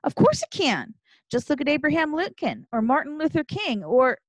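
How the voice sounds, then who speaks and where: A woman's voice: high voice, big pitch swings, moderate pace, dry recording, pitched above the performer's own voice. A woman voicing an earnest, opinionated seventh-grade girl in an audiobook, speaking emphatically and righteously. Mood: insistent. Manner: righteous, emphatic, formal wording. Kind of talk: audiobook character dialogue.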